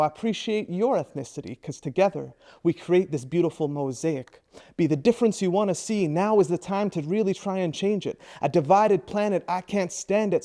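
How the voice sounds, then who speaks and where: A man's voice: high-pitched, dark tone. Man performing rhythmic spoken word poetry. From Iraq. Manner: rhythmic rhyming delivery. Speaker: presenter and spoken word poet.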